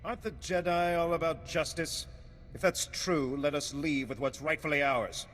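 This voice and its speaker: A man's voice: steady tone, moderate pace, a bit bright, lightly acted. Man acting a cool negotiator, reverberant hall. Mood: challenging. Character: shrewd, self-interested male negotiator, coolly bargaining. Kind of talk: scripted video game cutscene acting. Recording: hall reverb with faint rumbling noise.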